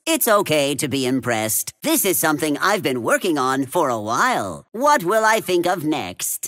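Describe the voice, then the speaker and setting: A gleeful little mad inventor from a video game, cocky and scheming. His delivery is theatrical and smug, leaping dramatically up and down in pitch. The sound is very dry, with no room around it. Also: sharp tone, dry recording, heavily acted. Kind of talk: video game character voice lines.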